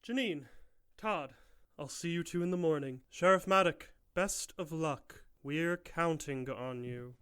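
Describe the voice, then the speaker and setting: Haughty, high-pitched professor, played by a man. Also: measured pace, a bit bright, clear, resonant tone. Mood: curt. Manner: clipped, imperious, scolding. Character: haughty, impatient professor who runs a lab, cutting and domineering. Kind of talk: audio drama acting.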